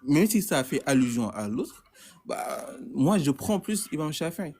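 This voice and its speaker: A man's voice: on the high side, big pitch swings, unhurried, darkish tone. A man caller in a heated debate, stammering and repeating words as he insists on his point.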